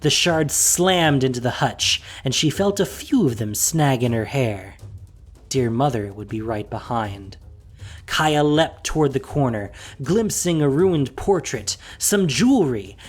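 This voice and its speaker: A narrator reading a fantasy story in a measured, expressive storytelling voice. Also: mid-range voice, slightly rough, faint music behind.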